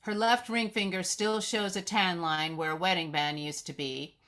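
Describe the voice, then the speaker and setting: A woman's voice: fairly low pitch, fairly even intonation, moderate pace, pushed and bright. A woman narrating the action of a screenplay at a live read, descriptive, occasionally stumbling over the text. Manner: descriptive, reading aloud with stumbles. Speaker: stage-direction narrator.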